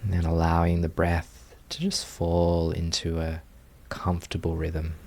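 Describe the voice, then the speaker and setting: A man with a low, gravelly-edged voice leads a guided meditation. He speaks very slowly and gently, leaving long pauses between short phrases. His delivery is inviting and unhurried, with fry in the tone. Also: monotone. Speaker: meditation teacher.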